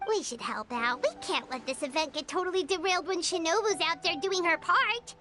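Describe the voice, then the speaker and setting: Tiny cheeky fairy sidekick girl for a game, super squeaky kid voice, bossy n excitable, loud music under it. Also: brisk. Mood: helpful, eager. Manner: childlike, exclamatory, speaks of self in third person. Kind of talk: video game voice acting.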